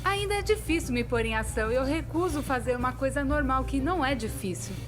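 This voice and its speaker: A high-pitched Brazilian female cartoon storyteller, acted by a dub actress, chatty and self-deprecating. She narrates expressively, with big swings in pitch. Music plays clearly behind her. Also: a little fast. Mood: chatty, candid. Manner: expressive, conversational. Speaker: voice actor in a fan dub. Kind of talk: scripted animated-story narration.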